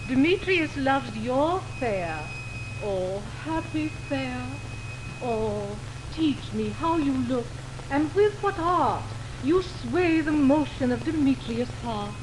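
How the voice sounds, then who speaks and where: Rejected young female lover, high and unhurried, plaintive Shakespearean declamation for early radio drama. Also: lively intonation. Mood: envious longing. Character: Helena, lovelorn young woman, plaintive and envious. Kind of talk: 1920s radio Shakespeare acting. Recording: noisy 1929 radio aircheck on film.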